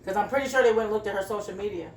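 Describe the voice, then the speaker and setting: A woman talks quickly in a casual, opinionated phone chat, with a medium-pitched voice and a matter-of-fact manner. The call sounds echoey, with faint noise behind her. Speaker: company interviewer who screens job candidates.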